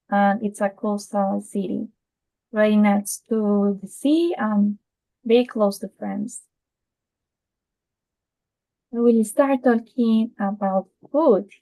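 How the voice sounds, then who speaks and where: A woman from Spain with a Spanish accent gives an informal presentation over a video call, in simple, slightly halting phrasing. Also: medium pitch, a little mellow, poor audio. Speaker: guest presenter.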